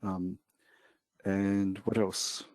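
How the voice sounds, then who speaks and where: A man with a New Zealand accent co-hosting a trading webinar in a relaxed, hesitant way with many fillers. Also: low-pitched, extremely slow, no echo, clean studio sound. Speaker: webinar co-host. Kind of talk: casual webinar talk.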